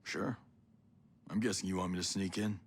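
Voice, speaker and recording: Low-voiced, gruff, skeptical soldier. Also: male voice, steady tone, normal speed. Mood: cool, curious. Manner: dry, sardonic, guarded. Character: gruff male special-ops soldier, wry and skeptical. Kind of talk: video game cutscene acting.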